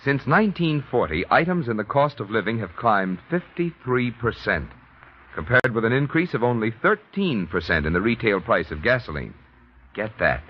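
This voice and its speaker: An American man as a 1940s radio commercial announcer, emphatic and persuasive, with a husky voice. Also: medium pitch, very animated, moderate pace. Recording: dull vintage radio recording.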